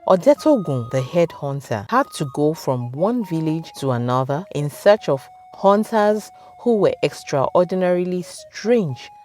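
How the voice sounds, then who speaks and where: A Nigerian woman tells a folktale in a deep, mellow voice. She takes her time, phrasing dramatically with a very expressive rise and fall. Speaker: storyteller. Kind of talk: scripted folktale narration.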